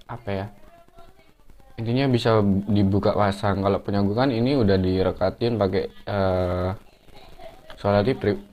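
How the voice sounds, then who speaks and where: A young man talks viewers through a setup in a relaxed, slangy way, with plenty of fillers. His voice sits a little low. He is recorded on a camera mic in a living room. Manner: slangy, chatty, full of fillers. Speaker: motovlogger. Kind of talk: casual hands-on tutorial. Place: living room at home, indoors.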